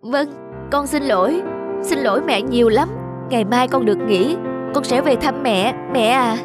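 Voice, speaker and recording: A woman voicing a modest, affectionate young working daughter in a cartoon, polite and soft-mannered. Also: high-pitched, normal speed, over loud music, natural voice. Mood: remorseful, loving. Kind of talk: cartoon dubbing.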